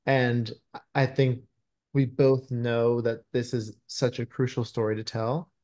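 A man's voice: mid-range voice, flat delivery, unhurried, dark tone. A man speaking conversationally as a screenwriter being interviewed over a video call from a room indoors. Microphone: video call microphone.

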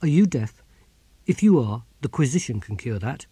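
Storybook sergeant, man performing: commanding, brisk, challenging, dry close sound. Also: medium pitch, very animated, warm tone, slightly rough, no echo, exaggerated voice. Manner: curt, authoritative, challenging. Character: brisk, commanding male army sergeant, secretly rebellious.

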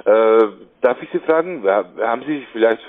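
A man making an unscripted sales call, haltingly, often repeating words. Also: medium pitch, animated. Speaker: cold caller. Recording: narrow, tinny phone line.

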